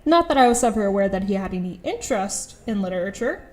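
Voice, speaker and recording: A woman playing a reluctant, exasperated high school girl in an audio drama, with dry, grudging first-person narration and dialogue. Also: expressive, fast talker, darkish tone, a little hiss or hum, natural voice. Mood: wry, resigned.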